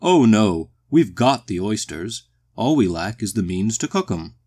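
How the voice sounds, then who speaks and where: Man putting on a booming, pompous walrus gentleman. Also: big pitch swings, unhurried. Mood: embarrassed booming. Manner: pompous, polite, hesitant, old-fashioned British phrasing. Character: big, genteel, embarrassed, slightly tipsy walrus-like gentleman. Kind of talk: acted storybook dialogue.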